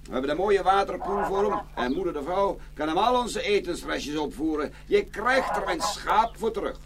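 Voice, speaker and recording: A man's voice: high voice, expressive, moderate pace, background noise. A man playing a cheerful, naive farmer in a children's fairy-tale audio play, chatty and hearty, full of exclamations. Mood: eager, friendly. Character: cheerful, naive farmer, contentedly bartering away his goods.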